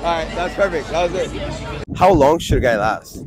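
A young man asking passers-by quick questions outdoors, teasing and playful, into a handheld mic. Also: a little high, animated, over a noisy crowd. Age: young adult. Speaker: street interviewer. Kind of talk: casual street interview questions. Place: outdoors on a beach promenade and street.

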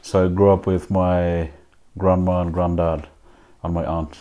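A man in his thirties with a Ugandan accent, speaking softly and reflectively in an interview from his living room. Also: sits low, flat delivery, measured pace, highs cut off. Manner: soft-spoken, reflective, with fillers. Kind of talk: personal interview answers.

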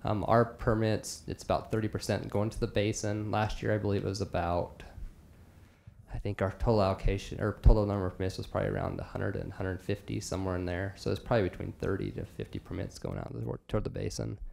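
A man in his thirties speaks at a podium in a large hall, his voice low and gravelly. He answers questions in a measured way with frequent ums. A faint hum sits behind him. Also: a little mellow. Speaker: wildlife biologist presenting. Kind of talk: answering questions at a public meeting. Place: large government meeting hall. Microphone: podium microphone.

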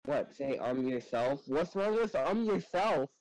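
A man's voice: fairly high pitch, lively intonation, unhurried, darkish tone, no room sound, poor audio. A man on voice chat teasing a friend in a dry, sarcastic way. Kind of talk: casual gaming chat.

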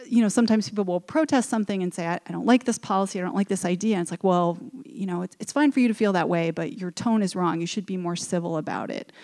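A woman's voice: mid-range voice, darkish tone. A woman giving earnest, thoughtful remarks as a forum panelist at a podium microphone on a stage. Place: stage in a dark auditorium. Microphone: gooseneck podium mic.

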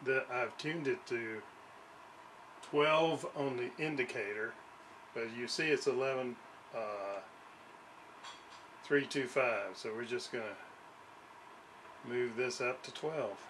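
A man giving a casual hands-on demonstration, haltingly thinking aloud as he works. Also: mid-range voice, fairly bright tone, slight background noise, low-quality recording. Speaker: radio restoration hobbyist presenter. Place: small indoor home workshop.

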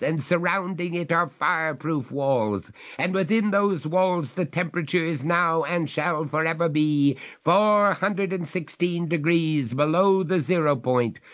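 A man's voice: fairly high pitch, voice pushed up. A man voicing a stern old master who speaks with firm, dry courtesy. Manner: firm, courteous, coldly ironic.

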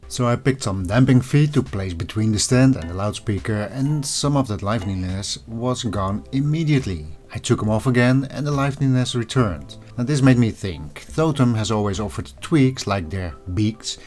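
Man, lowish voice, review host, scripted narration, music in the background. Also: expressive, normal speed, hardly any pauses, darkish tone. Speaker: host of an audio review channel.

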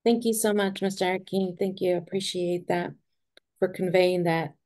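A woman's voice: fairly low pitch, steady tone, a little slow, darkish tone. A woman chairing a club meeting, graciously thanking a speaker over a video call.

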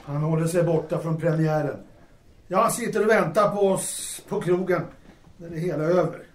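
Man as a high-pitched, fretful stage actor. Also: close to an everyday voice. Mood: anxious. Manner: fussy, stammering. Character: fretful, harried male stage actor before premiere. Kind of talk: audio drama acting. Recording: echoey room with faint noise.

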